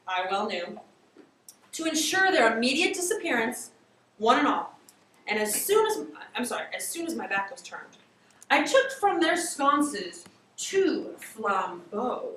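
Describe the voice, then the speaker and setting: A woman narrating classic fiction in an amateur dramatic reading, theatrical, with drawn-out emphasis. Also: high-pitched, sharp tone. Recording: very echoey room, distant mic, faint hiss.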